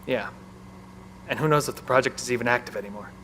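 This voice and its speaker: A man's voice: medium pitch, fast talker, a bit bright, a little hiss or hum, natural voice. An actor playing an anxious, protective male bunker technician and new father in an audio drama. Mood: grim, worried.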